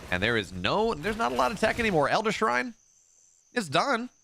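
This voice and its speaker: A man casting a live strategy game match, excitable in the fights and relaxed and rambling when he chats with viewers. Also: high voice, moderate pace, creaky, slight background noise. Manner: excitable play-by-play, rising in big fights, relaxed and rambling between games. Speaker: esports caster. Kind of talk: live game commentary and chat reading.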